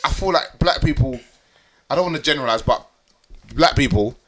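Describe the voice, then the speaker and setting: A young British man co-hosting a casual chat, animated and slangy, full of "like" and "yeah". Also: fairly high pitch, brisk. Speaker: podcast co-host.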